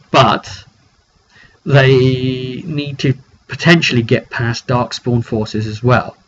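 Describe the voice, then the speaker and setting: A dull, lo-fi recording with clear noise carries a man of middling pitch. He speaks as a game master recapping his campaign informally, leaning on key phrases and pausing for drama. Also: a bit bright. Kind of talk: informal video recap of a roleplaying session.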